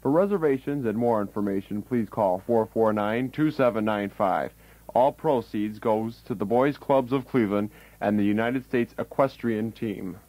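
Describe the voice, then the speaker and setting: A man reads a scripted radio announcement. His voice is fairly low with a dark, relaxed tone. The sound is dry, with no room around it. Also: normal speed, no room sound, poor audio.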